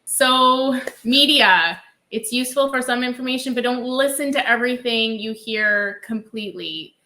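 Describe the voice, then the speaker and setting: A woman with a bright, clear voice co-hosts a casual reaction chat. She talks in a relaxed, chatty way, with plenty of fillers between her points. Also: on the high side, normal speed, poor audio. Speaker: realtor co-host.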